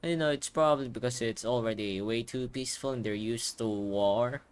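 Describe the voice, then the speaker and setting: A man's voice: mid-range voice, moderate pace, clean tone. A man giving casual, rambling commentary on a game playthrough into a headset mic. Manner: informal, rambling asides. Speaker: game streamer. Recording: game music behind voice.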